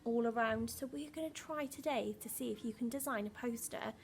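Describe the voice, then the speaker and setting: A woman's voice: on the high side, a little fast, slight background noise. A woman primary school teacher reading a picture book expressively to young children, with dramatic emphasis and questions to the listeners.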